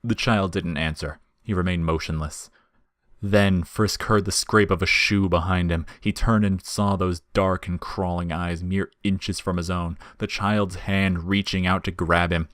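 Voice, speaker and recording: Male narrator, deep register, scripted dramatic storytelling, dry studio-close sound. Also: fairly even intonation, a little slow, fairly bright tone, dry recording.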